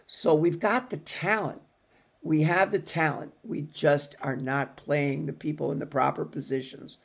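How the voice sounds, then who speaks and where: A man's voice: a little high, steady tone, measured pace, vocal fry, breathy edge. A man giving a rambling, self-correcting take on his soccer team's latest match as a podcast contributor. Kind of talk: casual sports commentary.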